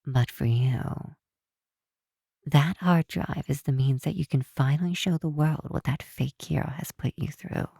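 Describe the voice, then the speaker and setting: Woman acting a low, breathy supervillainess. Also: dry recording, clean studio sound, full character voice. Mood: calm, earnest. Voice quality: breathy, hushed. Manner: unhurried, teasing, theatrical. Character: possessive female supervillain, softly menacing, tender toward captive. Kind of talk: intimate ASMR roleplay acting.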